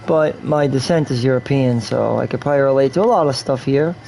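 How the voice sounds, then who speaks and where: A man's voice: mid-range voice, brisk, vocal fry, dark tone, slight background noise. A man from New York rambling through casual game stream commentary, repeating himself and slipping in and out of a put-on English accent. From New York, USA.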